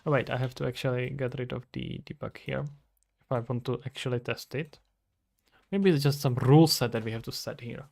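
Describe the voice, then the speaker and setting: Male game-dev streamer, 20s, medium pitch, casual, talks through his work aloud. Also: steady tone, fairly quick, vocal fry, darkish tone. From Czech Republic. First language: Czech. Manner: thinking aloud, talking to himself and viewers. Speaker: streamer, indie game developer. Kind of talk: casual livestream chat while working. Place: indoors at a computer.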